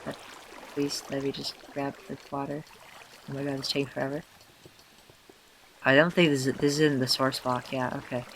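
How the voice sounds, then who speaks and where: A man with a hoarse, tired voice, self-consciously rambling through casual gameplay commentary with many fillers and restarts. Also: mid-range voice, level tone, normal speed, long pauses, fairly bright tone, a little hiss or hum. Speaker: let's player.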